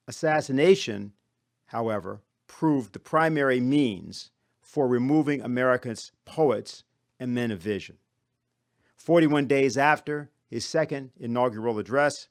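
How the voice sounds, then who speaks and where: A man with a medium-pitched voice delivers a scripted lecture. He sounds formal and serious, with measured phrasing. The sound is very dry and close, free of room echo. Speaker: conference speaker. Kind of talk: scripted lecture-style presentation.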